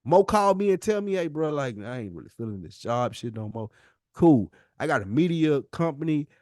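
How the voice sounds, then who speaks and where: A man's voice: fairly high pitch, animated, fairly quick, darkish tone, no echo, clean studio sound. An American man co-hosting a podcast, talking casually and candidly in an earnest, slangy way and repeating words for emphasis. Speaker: podcast co-host.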